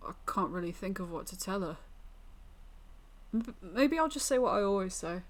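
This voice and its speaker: A woman's voice: medium pitch, animated, lightly acted. A woman voicing a naive young country lad in a visual novel, plain-spoken, folksy and drowsy. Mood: puzzled. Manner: folksy, unhurried, puzzled. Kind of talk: visual novel character narration.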